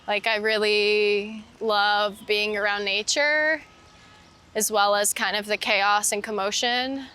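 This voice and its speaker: A woman's voice: medium pitch, fairly even intonation, slow, pushed and bright, clean tone. A woman interviewed as a photographer, chatting casually and reflectively outdoors, meandering and saying "like" often. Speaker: interviewed street photographer and painter. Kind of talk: casual reflective chat while out walking. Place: outdoors in a city park.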